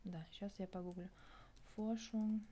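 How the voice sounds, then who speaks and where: A young adult woman vlogging in a casual reflective monologue, thinking aloud and pausing often to find her words. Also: medium pitch, steady tone, warm tone, slight background noise. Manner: thinking aloud, hesitant with many pauses.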